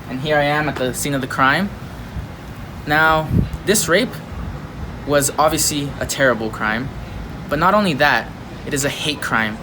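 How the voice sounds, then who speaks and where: A man playing an earnest, halting local news reporter in an amateur mock report. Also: mid-range voice, lightly acted. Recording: noisy amateur recording, loud background hum.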